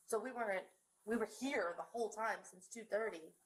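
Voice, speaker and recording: A woman's voice: medium pitch, fairly quick, low-quality recording. A woman, a parent, talking in a confused, questioning way.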